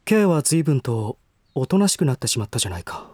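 An actor playing a troubled, reserved young gentleman in a voice drama, hesitant, introspective and formal in manner. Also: mid-range voice, big pitch swings, brisk, no room sound. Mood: guarded, hesitant. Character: troubled, reserved young gentleman brooding over his half-brother. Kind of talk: Japanese voice drama acting with inner monologue.

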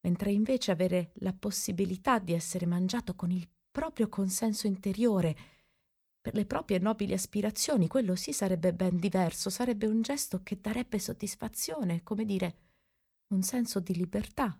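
A woman's voice: medium pitch, moderate pace, darkish tone, breathy edge. A woman playing an earnest, plaintive castaway who talks themself into noble self-sacrifice, in theatrical, declamatory radio drama. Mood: pleading.

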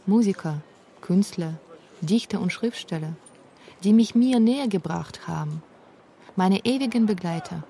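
A woman's voice: mid-range voice, expressive, measured pace, warm tone, slight background noise. A woman reading scripted narration for a radio feature as its author and narrator. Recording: music behind the voice.

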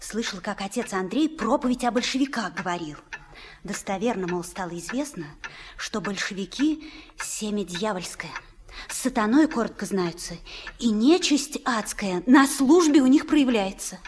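An actress playing a homely, brisk mother in a Russian radio drama, on a noisy old recording. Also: mid-range voice, expressive, natural voice. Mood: gossipy.

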